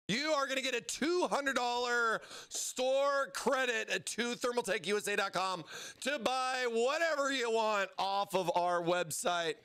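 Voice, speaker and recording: A man hosting a livestream giveaway, excitable and playful as he hypes up his chat, his voice strained from overuse. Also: very high-pitched, clear, resonant tone. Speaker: livestream host.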